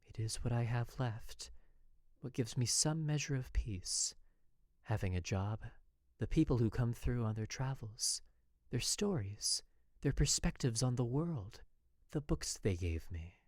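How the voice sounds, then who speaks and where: A man's voice: mid-range voice, animated, a little slow, darkish tone, no echo, clean studio sound. A man playing an anxious, oppressed young wife who confides in her diary, in an earnest, formal, dramatic western narration. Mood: wistful.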